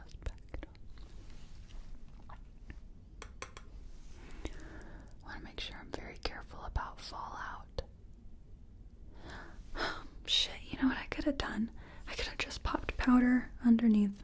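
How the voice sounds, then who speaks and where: A woman playing a nervous makeup artist in an ASMR roleplay, speaking in a breathy whisper and murmuring hesitantly to herself as she works. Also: mid-range voice, dark tone, lightly acted. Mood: anxious, flustered. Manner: murmured, hesitant self-commentary while working. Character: nervous female makeup artist competing in a contest. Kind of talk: soft-spoken ASMR personal attention roleplay.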